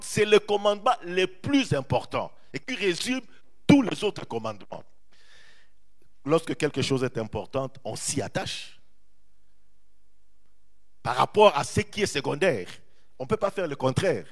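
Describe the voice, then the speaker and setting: Animated preaching man, lively pitch. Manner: animated preaching, repeats phrases, draws out key words. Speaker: pastor. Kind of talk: sermon.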